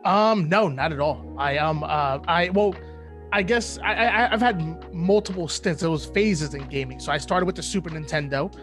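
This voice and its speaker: A man's voice: a little high, normal speed, a bit bright, soft background music. A thirty-year-old man with a New Jersey accent, chatting informally and playfully as an interview guest. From New Jersey, United States. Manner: playful, informal, repeats phrases. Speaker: interview guest, esports caster and content creator. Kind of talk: casual interview chat.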